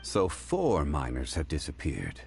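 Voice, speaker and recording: Deep, composed gentleman. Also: vocal fry, a little hiss or hum, heavily acted. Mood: calm. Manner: calm, formal and deliberate. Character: composed, learned gentleman, calm and measured. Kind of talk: video game dialogue.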